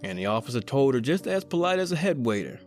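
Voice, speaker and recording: A man's voice: medium pitch, sing-song, fast, a little mellow, soft background music, close to an everyday voice. A man with an American accent playing a cocky, slangy small-time crook in an old-time radio horror drama. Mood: uneasy, reminiscing. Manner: slangy, colloquial, conversational. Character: cocky wisecracking small-time male crook telling his own eerie tale. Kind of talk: old-time radio horror acting, first-person narration and dialogue.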